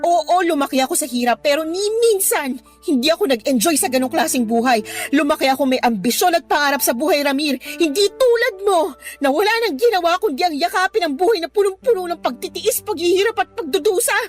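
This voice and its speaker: A woman's voice: extremely high pitch, animated, fairly quick, soft background music. A woman acting an ambitious, scornful young girlfriend in a Filipino radio drama, with an emotional, cutting delivery. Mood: scornful, exasperated. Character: ambitious, scornful young girlfriend leaving her poor boyfriend.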